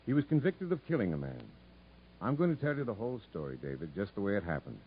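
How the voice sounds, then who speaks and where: An actor playing a grave but kindly prison captain, measured and straightforward, in a 1950s radio drama. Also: male voice, lowish voice, sing-song, a little hiss or hum. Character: grave, frank prison captain recalling a story, kindly toward children. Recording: muffled 1950s radio recording.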